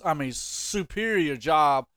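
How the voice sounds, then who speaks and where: Smooth-voiced man hosting a podcast. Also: on the high side, animated, takes it slowly, bright, forceful tone, no room sound, studio quality. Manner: teasing, ribbing. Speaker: podcast host. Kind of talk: casual sports podcast chat.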